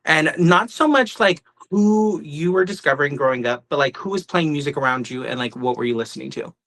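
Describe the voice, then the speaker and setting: A man's voice: fairly high pitch, a little fast, fairly bright tone. A man hosting a music podcast in a chatty, enthusiastic way with casual, slangy talk. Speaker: podcast host.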